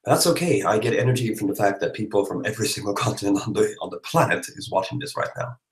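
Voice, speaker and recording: Tired male livestreamer, Swedish accent, gravelly low-leaning voice, loose and playful chat. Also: lively intonation, quick pace. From Sweden. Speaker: livestream host. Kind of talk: casual livestream chat, reading and answering comments. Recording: roomy, echoey livestream microphone.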